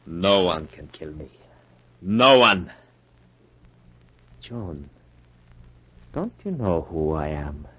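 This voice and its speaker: A slow, sneering, suave Devil. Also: male voice, low-pitched, big pitch swings, fairly bright tone, husky, exaggerated voice. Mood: hypnotic menace. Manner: slow, drawn-out, menacing, mocking delivery. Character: the Devil as a suave, sneering, gloating male lover. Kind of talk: 1940s radio melodrama acting. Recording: dull vintage 1940s radio recording.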